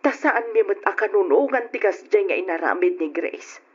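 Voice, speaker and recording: Middle-aged mother-in-law, husky, Ilocano accent, apologetic and consoling, radio drama, muffled recording. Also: mid-range voice, expressive, moderate pace, darkish tone.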